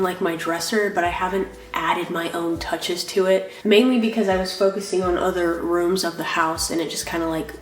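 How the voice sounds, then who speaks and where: A woman's voice: lowish voice, roomy sound, slight background noise. A young woman vlogging in a casual, rambling way, full of "like" fillers, sounding nasal from a cold. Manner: rambling, lots of "like" fillers, apologetic. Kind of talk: casual chatty vlog.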